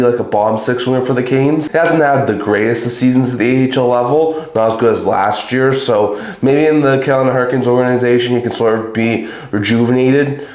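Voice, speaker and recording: A man hosting a hockey channel, giving a casual solo recap of trades in loose, chatty sentences, his voice a little raspy from a cold. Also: medium pitch, a little mellow, reverberant. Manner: chatty, talks in loose run-on sentences with fillers.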